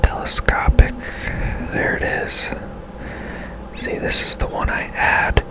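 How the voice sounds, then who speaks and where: A man whispering casual ASMR commentary as he plays a video game, musing in a hushed, unhurried way. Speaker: game streamer, ASMR host.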